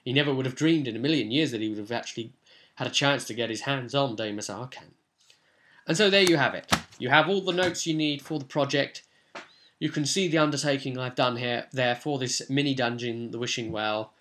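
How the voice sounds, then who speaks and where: A man's voice: mid-range voice, a little fast, background noise. Man casually recapping a tabletop game. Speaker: tabletop role-play vlog host.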